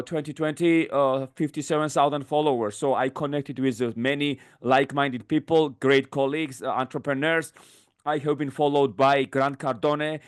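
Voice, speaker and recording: This is a man in his thirties whose first language is Georgian, answering interview questions in English. His voice is smooth and resonant at an ordinary pitch. He speaks readily, though often broken by fillers and restarts. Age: 30s. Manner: fluent but halting, many fillers and restarts. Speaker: guest. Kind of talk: podcast interview.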